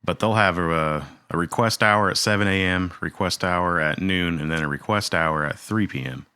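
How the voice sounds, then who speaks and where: A man's voice: deep voice, normal speed, bright, forceful tone, smooth, no room sound, studio quality. A man co-hosting a casual podcast, easygoing and jokey in his asides. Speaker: podcast co-host. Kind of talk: casual chat.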